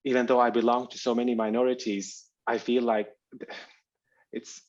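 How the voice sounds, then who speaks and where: A man from Finland, his Albanian, speaking as an author guest in a thoughtful, halting interview conversation over a video call. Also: mid-range voice, flat delivery, dull audio. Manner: halting, reflective, searching for words.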